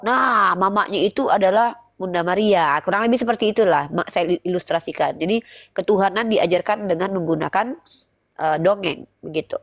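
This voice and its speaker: A woman's voice: mid-range voice, fairly quick, no room sound. A woman, speaking didactically in a live online religious debate and putting rhetorical questions to listeners. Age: 30s.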